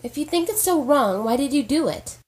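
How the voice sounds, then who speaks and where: A woman's voice: sits high, expressive, fairly quick, darkish tone. A woman playing a stubborn, guarded young woman in an audio drama, curt and defensive. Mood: defiant.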